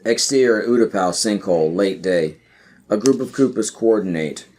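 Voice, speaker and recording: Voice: deep voice, animated, moderate pace, a little mellow. A podcast narrator reading scripted screenplay stage directions.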